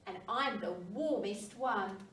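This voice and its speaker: A woman's voice: medium pitch, slow, fairly bright tone. A woman voicing a proud, friendly and inviting penguin in a children's storybook. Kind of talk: children's storybook read aloud. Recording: roomy, distant mic, faint noise.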